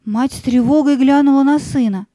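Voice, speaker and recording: A woman narrating a scripted fairy tale as an expressive storyteller. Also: high-pitched, normal speed, dry recording, studio quality.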